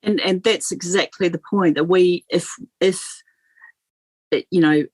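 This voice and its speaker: A woman's voice: on the low side, steady tone, unhurried, a little mellow, dry recording, rough recording. A woman, a guest on political talk radio, speaking earnestly with many restarts and repeated words.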